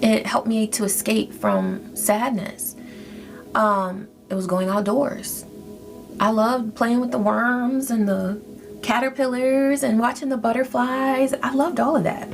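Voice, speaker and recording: A woman giving a spiritual tarot reading in a soft, reflective, gently encouraging way. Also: fairly high pitch, steady tone, measured pace, gravelly. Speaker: tarot reader. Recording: faint music behind.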